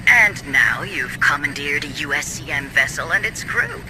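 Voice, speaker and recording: An actor playing a polite but condescending corporate director in a sci-fi video game, speaking formally. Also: male voice, fairly high pitch, lively intonation, moderate pace, music in the background, lightly acted, low-quality recording. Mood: smug, grave. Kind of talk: cinematic video game acting.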